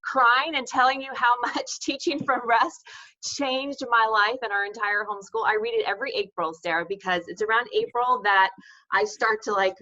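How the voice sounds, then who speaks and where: A woman's voice: on the high side, steady tone, moderate pace, pushed and bright, dull audio. A woman hosting an interview, speaking conversationally with gushing enthusiasm. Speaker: interview host. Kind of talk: conversational introduction and questions.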